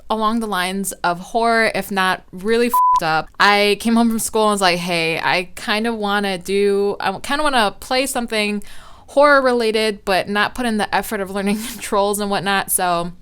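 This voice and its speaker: A woman's voice: medium pitch, moderate pace, background noise. A young woman doing a casual let's play, chatting in slang and reading visual novel text aloud with small stumbles. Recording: loud music behind the voice.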